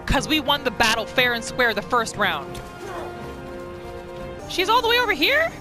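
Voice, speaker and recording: A woman hosting a gaming roleplay series, giving expressive playthrough commentary and telling her character's story. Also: high voice, normal speed, music in the background. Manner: expressive, exclamatory reactions.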